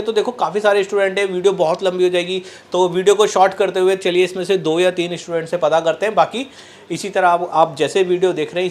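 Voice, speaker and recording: A man interviewing students in a repair training class, talking casually and repeating himself. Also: sits high. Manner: chatty, repeats "so guys, as you can see".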